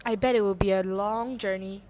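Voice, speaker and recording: A woman's voice: mid-range voice, fairly even intonation, darkish tone, dry recording, lightly acted. A woman playing an obsessive, eerily polite male host, a grieving maniac, in an amateur radio drama. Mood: eerily polite, insistent. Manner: insistent, deliberate, menacing politeness.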